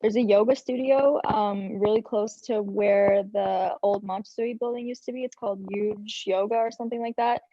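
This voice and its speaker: A young woman, a student, chats on a video call in a loose way full of fillers. Her voice is dark and mellow. The audio is dry and muffled. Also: medium pitch, fairly even intonation, normal speed, few pauses, no echo. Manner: chatty, filler-heavy. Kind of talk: casual panel chat.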